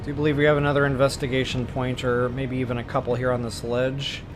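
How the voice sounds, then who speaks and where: A man's voice: medium pitch, level tone, fast talker, over music. A man giving casual, slangy gameplay commentary as the host of a walkthrough. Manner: slangy, informal. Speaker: let's play walkthrough host.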